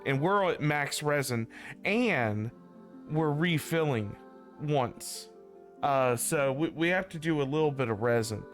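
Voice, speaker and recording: A man chatting casually on a game livestream, rambling and repeating himself as he talks to his viewers. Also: a little high. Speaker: game streamer. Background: faint game music.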